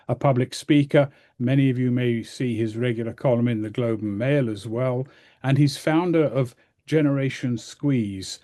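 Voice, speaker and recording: Man, webinar host, mellow tone, halting speech with fillers, video call. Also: medium pitch. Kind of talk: introducing speakers.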